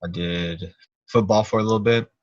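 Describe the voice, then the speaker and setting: A man's voice: on the low side, fairly even intonation, moderate pace, no room sound. A teenage young man chatting casually as a podcast guest, telling anecdotes in an informal, slangy, rambling way on a slightly muffled recording. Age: teens.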